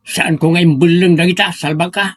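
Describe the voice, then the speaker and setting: A man playing a cranky, blustering old village father with an Ilocano accent in a comedic audio drama, husky and argumentative. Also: fairly high pitch, steady tone, a little fast, a bit bright, no room sound, clean studio sound. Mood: defensive, irate. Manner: argumentative, exclamatory.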